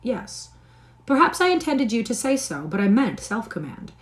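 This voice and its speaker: A woman voicing a clever, proud young lady with a quick, mocking wit. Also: on the high side, sing-song, voice pushed up. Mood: teasing. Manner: brisk, mocking.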